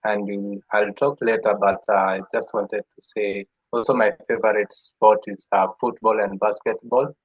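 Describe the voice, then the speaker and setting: Husky-voiced young man on a call. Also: on the low side, little pitch movement, moderate pace, hardly any pauses, no echo. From Kenya. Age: young adult. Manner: hesitant, with many uhs. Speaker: youth forum participant. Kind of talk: opening remarks on a video call. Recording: dull, dry video call audio.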